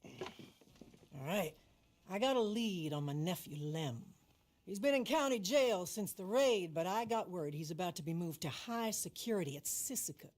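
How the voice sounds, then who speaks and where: A woman's voice: on the low side, animated, moderate pace, darkish tone. An actress playing a shrewd, commanding older woman running moonshine, with an American Southern accent and a dry, folksy, plainspoken manner in a game cutscene. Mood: urgent, resolute. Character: tough, vengeful older female moonshine boss, shrewd and commanding. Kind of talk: cinematic game dialogue.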